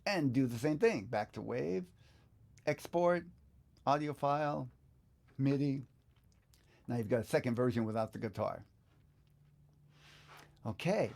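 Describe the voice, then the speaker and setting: A man's voice: mid-range voice, unhurried, gravelly, long pauses, a little mellow. An older man giving a casual live online tutorial as a teacher, thinking aloud informally in an indoor studio. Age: older, 50s to 60s. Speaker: guitar teacher hosting a live online session.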